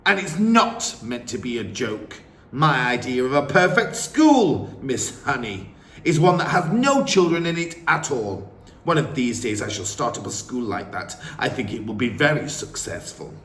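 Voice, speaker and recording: A man voicing a huge, cruel, furious headmistress, with booming, scornful ranting. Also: very animated, a little slow, a bit bright. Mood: mocking. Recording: roomy, faint ambience.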